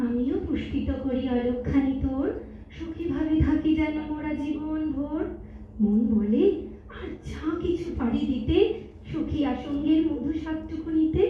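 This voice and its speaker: Far from the mic in a reverberant hall, an actress plays a coy young woman in love. Her high voice lilts theatrically through rhymed stage-drama verse, teasing as she goes. The sound is slightly dull. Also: a little slow. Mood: dreamy, lovestruck. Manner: theatrical, lilting, poetic recitation. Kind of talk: rhyming verse stage audio drama in Bengali. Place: multipurpose hall stage in Kolkata. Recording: echoey hall, distant mic, dull, faint noise.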